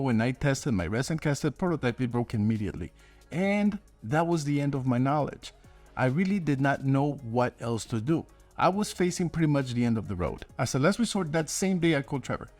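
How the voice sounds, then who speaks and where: A man's voice: medium pitch, a little mellow. A man hosting his own channel as an inventor, narrating a scripted video update to viewers. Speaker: host and inventor.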